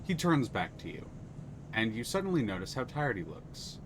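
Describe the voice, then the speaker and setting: A man's voice: mid-range voice, sing-song, normal speed, noisy background. A man narrating a story game aloud on a live stream, reading expressively. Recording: music playing under the voice.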